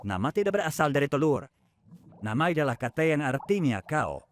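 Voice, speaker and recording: A kindly, matter-of-fact creature in a children's cartoon. The voice is extremely low, a little puzzled as it gives advice. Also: female voice, very animated, a little fast, soft background music. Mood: puzzled, helpful. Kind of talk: preschool cartoon dub.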